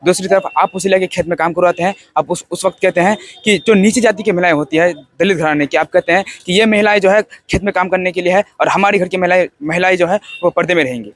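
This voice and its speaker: A young man gives an informal film review in an earnest, halting way, often repeating his phrases. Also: high-pitched, dry recording. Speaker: film festival participant.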